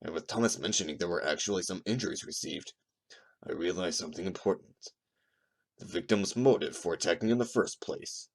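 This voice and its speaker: A man playing a gruff, boastful wolf witness with a sneering, blunt manner in an audio drama. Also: low-pitched, a little fast, background noise. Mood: grave. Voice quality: gravelly. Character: gruff, hot-tempered, boastful, insulting male wolf witness. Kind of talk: amateur audio drama acting.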